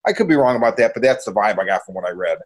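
A man's voice: fairly low pitch, expressive, racing. A man co-hosting a podcast, giving his opinions in informal, casual talk and reasoning aloud as he goes. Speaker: podcast co-host.

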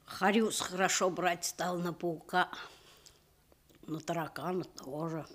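A cheeky village lad in a radio drama. The voice is on the low side and unhurried, with roguish, offhand country talk. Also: female voice, steady tone. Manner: offhand, folksy boyish talk. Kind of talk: radio play acting.

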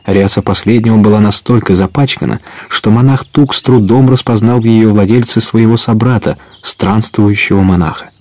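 A man's voice: lowish voice, darkish tone. A man narrating a story for a Russian audio play in a scripted storytelling style.

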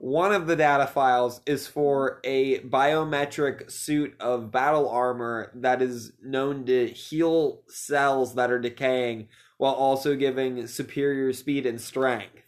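A man's voice: mid-range voice, fairly even intonation, takes it slowly, few pauses. A man co-hosting a podcast, recapping a movie in casual chat with sarcastic, joking asides. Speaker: podcast co-host.

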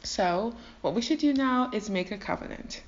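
A woman's voice: medium pitch, expressive, normal speed, slight background noise, close to an everyday voice. Blustering older patriarch, played by a female storyteller: indignant, dramatic, slangy delivery; lo-fi sound. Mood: indignant, then conciliatory. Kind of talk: comedic storytelling dialogue, modern slang.

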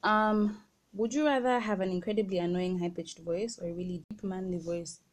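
A young woman hosting a casual chat with her friends, chatty and quick to laugh. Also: fairly low pitch, steady tone. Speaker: host.

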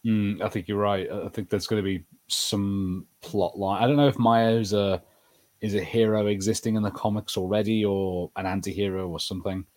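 A man's voice: on the low side, steady tone, normal speed, a little mellow. A man co-hosting a podcast, chatting casually as he reviews TV shows. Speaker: podcast co-host.

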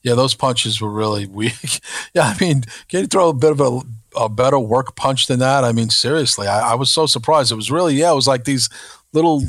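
A man's voice: mid-range voice, brisk, a bit bright, no room sound, studio quality. A man co-hosting a podcast, agreeing and rambling in casual chat. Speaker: podcast co-host.

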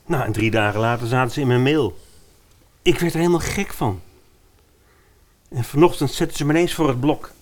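A man's voice: mid-range voice, a little fast, long pauses, close to an everyday voice. A man playing an earnest young trainer in a fairy-tale radio play, emotive, torn and protective. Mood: agitated.

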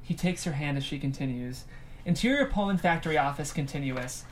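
A woman's voice: low-pitched, expressive, a little fast. Woman narrating a script's scene directions, little bit of background noise.

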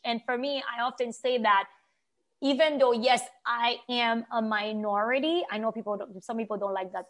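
Woman, higher-set voice, earnest and emphatic, conversational opinion talk, from Haiti. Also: a bit bright.

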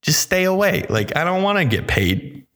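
A man doing a solo podcast monologue in a put-on accent, thinking aloud in a halting, self-interrupting, casual way. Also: high-pitched, very animated, fairly bright tone. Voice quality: affected accent put on over his normal voice. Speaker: podcast host.

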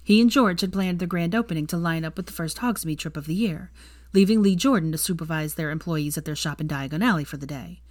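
A woman reading fan fiction aloud as expressive, scripted story narration. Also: lowish voice, fast, dry recording, studio quality.

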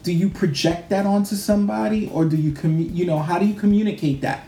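A man's voice: high voice, steady tone, quick pace, warm tone, reverberant, a little hiss or hum. A man co-hosting a podcast, talking casually and rambling with many restarts. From New York. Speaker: podcast co-host. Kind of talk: casual chat.

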